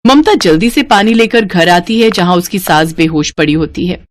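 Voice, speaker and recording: A woman's voice: fairly low pitch, lively intonation, moderate pace, no room sound. A woman storyteller reading a scripted moral story as narrator.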